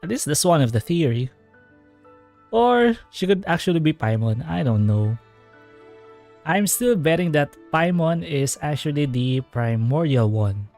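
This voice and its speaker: A streamer talks casually over gameplay, rambling through fan theories at a middling pitch. Game music runs quietly in the background. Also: sing-song, a little slow.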